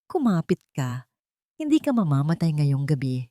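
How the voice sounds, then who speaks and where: Big, gentle male rescuer, read by a female narrator: calm, respectful, audiobook drama, dry sound. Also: sits low, normal speed, no room sound. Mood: calm, urgent. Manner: calm, polite.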